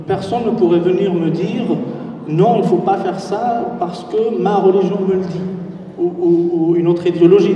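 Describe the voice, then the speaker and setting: A man's voice: fairly high pitch, warm tone, a little hiss or hum. A man speaking on a conference panel, hesitant and searching for words, recorded from a distance in a large hall. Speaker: panel writer. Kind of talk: halting conference talk. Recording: distant echoey hall, muffled.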